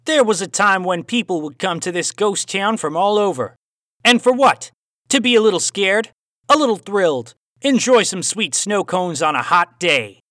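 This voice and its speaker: Menacing Aussie cowboy villain, raised pitch. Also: male voice, pushed and bright, no room sound, clean studio sound. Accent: Australian with a hint of cowboy twang. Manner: formal, deliberate, sinister rhetorical questioning. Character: menacing male phantom cowboy villain, philosophical, taunting, theatrical. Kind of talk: audiobook character voice.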